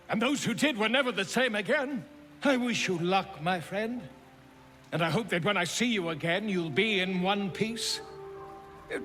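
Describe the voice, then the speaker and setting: An actor playing a flamboyant, self-important bard in a video game, speaking in a florid, theatrical and chummy manner. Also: male voice, sits high, a bit bright. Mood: earnest, encouraging. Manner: theatrical, florid, grandiloquent storytelling. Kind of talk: video game character acting.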